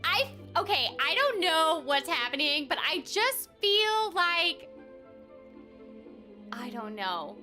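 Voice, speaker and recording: Really high voiced girl streaming a game, giggly, slow n swoopy, faint music. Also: sing-song, pushed and bright. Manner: playful, giggly. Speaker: streamer and voice actor. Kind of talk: casual live game playthrough commentary.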